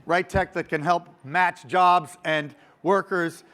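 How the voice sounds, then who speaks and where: A man moderating at a conference, introducing the next panel in a loud, joking, informal way and correcting himself as he goes. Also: sits high, steady tone, slow pace. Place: conference stage.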